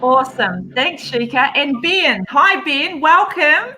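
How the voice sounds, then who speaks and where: A woman's voice: sits high, big pitch swings, slow, pushed and bright, slight background noise. A woman hosting a live online chat over a video call, upbeat and encouraging. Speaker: host.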